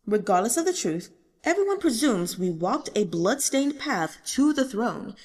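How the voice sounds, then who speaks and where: An embittered young emperor, acted out by a woman, speaks in long hall reverb. The delivery is formal, solemn and regal, swinging widely in pitch and sitting fairly high, as dramatic audiobook dialogue. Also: big pitch swings, measured pace, a little mellow. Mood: bitter, weary. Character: embittered, self-mocking young male emperor, regal and burdened.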